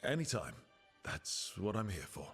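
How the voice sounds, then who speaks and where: An actor voicing a steady young male hero in a video game, gentle and casual with a dryly playful streak. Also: on the low side, normal speed, husky, faint music behind, heavily acted. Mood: curious, supportive. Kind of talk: video game dialogue acting.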